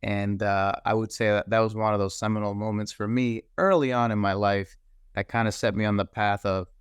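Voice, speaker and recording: Earnest, smooth-voiced man from the Dominican Republic. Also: lowish voice, steady tone, no room sound. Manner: measured, earnest, pauses with frequent "uh". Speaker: guest, cybersecurity executive. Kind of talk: reflective personal storytelling.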